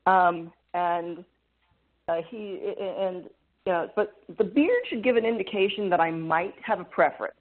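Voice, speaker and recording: A man with a fairly high voice for a man, speaking as a guest hypnotherapist in a remote interview and explaining things in a measured, thoughtful way. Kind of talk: interview over a remote call. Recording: phone-like call line with static.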